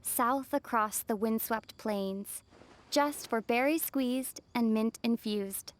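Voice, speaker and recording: A woman voicing a calm traveler who recites verse deliberately in a video game. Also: a little high.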